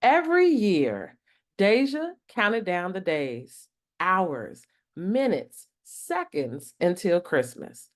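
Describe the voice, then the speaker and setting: Expressive woman reading a bedtime story. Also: lowish voice. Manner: expressive storyteller with deliberate phrasing. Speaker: storytime host, executive director of a children's book organization. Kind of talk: reading a picture book aloud to children, with asides.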